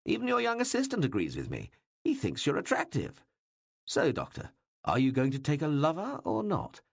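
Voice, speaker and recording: Man voicing a loud teasing king. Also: on the high side, wildly swooping pitch, normal speed, vocal fry, husky, no echo, clean studio sound, voice pushed up, heavily acted. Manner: loud, mocking, playful. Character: teasing, bawdy middle-aged male king. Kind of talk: audiobook reading.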